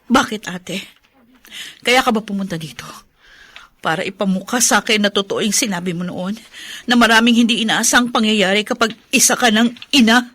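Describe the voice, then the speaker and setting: A woman's voice: mid-range voice, moderate pace, fairly bright tone, lightly acted. A woman in her twenties playing an exhausted, despairing young mother in a radio melodrama, bitter and wounded. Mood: bitter, hurt. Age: 20s.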